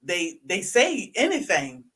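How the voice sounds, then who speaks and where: A woman's voice: mid-range voice, huge pitch swings, takes it slowly, a bit bright, breathy edge. A woman with an American accent chatting casually in a group.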